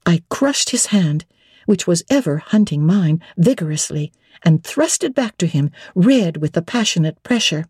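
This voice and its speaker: A woman narrating classic literature as a dramatic audiobook reading, measured, expressive and theatrical. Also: fairly low pitch, breathy edge, dry recording, studio quality.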